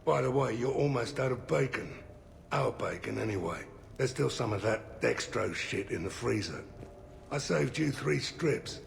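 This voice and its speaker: Very gravelly, gruff friendly soldier. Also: male voice, mid-range voice, lively intonation, moderate pace, slight background noise. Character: gruff, friendly male soldier with broken nose. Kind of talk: video game voice acting.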